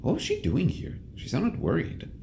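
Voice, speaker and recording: A man's voice: fairly high pitch, huge pitch swings, moderate pace, gravelly, background noise. A man reading scripted third-person narration for an audio drama, as a storyteller. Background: music.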